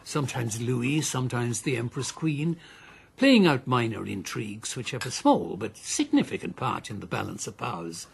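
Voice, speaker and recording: Genial rogue gambler for radio drama: avuncular, lively intonation, fry in the voice. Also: male voice, medium pitch, moderate pace, full character voice. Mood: smooth, confiding. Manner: suave, avuncular, theatrical. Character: roguish, genial gentleman uncle, a card-sharp and gambler.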